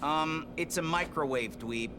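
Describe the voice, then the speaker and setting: A man's voice: fairly high pitch, a little slow, background noise, lightly acted. A man playing a sarcastic, impatient teenage mutant turtle in a cartoon video game, slangy and mocking.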